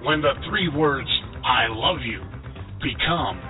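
A man announcing a mock radio advertisement, deliberate, measured and mock-solemn. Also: mid-range voice, animated. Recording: dull radio audio over music.

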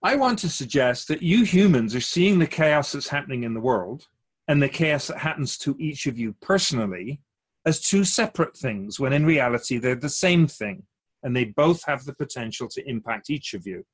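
Otherworldly Keeper male actor, radio drama: husky, expressive, philosophical mentor to humans, measured and probing. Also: medium pitch. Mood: earnest, probing. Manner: measured philosophical explaining with rhetorical questions. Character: otherworldly Keeper of souls, wise, patient, gently challenging teacher.

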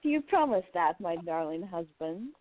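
A woman's voice: fairly low pitch, sing-song, normal speed. A woman playing an independent young newspaperwoman of the 1920s in a radio play, with an earnest, emphatic delivery. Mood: tender. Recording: tinny phone-like line, roomy echo.

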